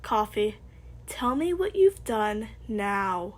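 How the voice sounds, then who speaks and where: A woman voicing a tired, grumpy older man with a flat, weary delivery. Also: mid-range voice, takes it slowly, pitched below the performer's own voice.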